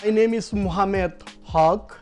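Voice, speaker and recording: A middle-aged man with a Bangladeshi accent, a taxi driver speaking in a documentary interview, halting with many fillers and simple phrasing. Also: high voice, darkish tone, soft background music. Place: apartment living room.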